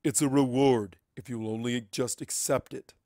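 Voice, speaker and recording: Man voicing a lofty, forceful otherworldly collective being. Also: medium pitch, steady tone, no echo, clean studio sound, lightly acted. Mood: lofty, detached. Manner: formal, measured, condescending. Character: cold, lofty otherworldly collective entity speaking through a child. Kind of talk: dramatic fan fiction reading.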